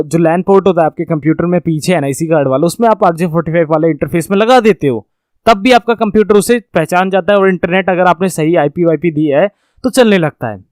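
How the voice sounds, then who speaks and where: Male tech instructor, high voice, dry close sound, informal lecturing, rephrases often, checks understanding. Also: a little mellow, no echo. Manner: repeats and rephrases points, checks understanding with tags. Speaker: networking course instructor.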